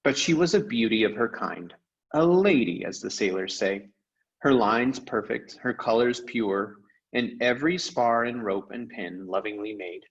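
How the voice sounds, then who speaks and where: A man narrating a children's novel aloud in an expressive storyteller's style. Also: fairly low pitch, a little slow, dull audio. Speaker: read-aloud storyteller, teacher.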